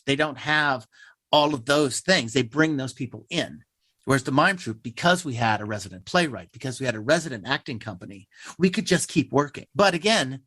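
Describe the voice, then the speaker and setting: A man's voice: medium pitch, bright, forceful tone, dry recording. A man speaking as a theater playwright in an interview, conversational and emphatic, with wry asides and acted-out imagined quotes. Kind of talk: animated conversational interview answers.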